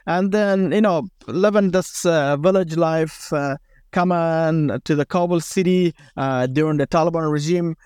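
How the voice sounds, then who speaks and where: A man in his thirties from Afghanistan with an Afghan accent, speaking haltingly with many fillers as an interview guest. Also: fairly high pitch, darkish tone, clear, resonant tone, dry recording, studio quality. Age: 30s. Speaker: guest, former special forces commander. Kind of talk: casual interview talk.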